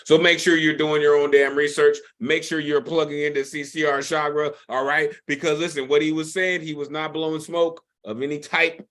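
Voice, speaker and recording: A man's voice: fairly high pitch, level tone, moderate pace, hardly any pauses, fairly bright tone. A man hosting an online poetry open mic, effusive and emphatic, with slangy talk and repeated phrases. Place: online video call.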